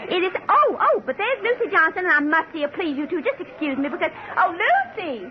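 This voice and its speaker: An actress playing a gushing, insistent society hostess, effusive and fluttery, in an old-time radio drama. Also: female voice, very high voice, sing-song, brisk, a loud crowd. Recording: muffled, faintly noisy old radio.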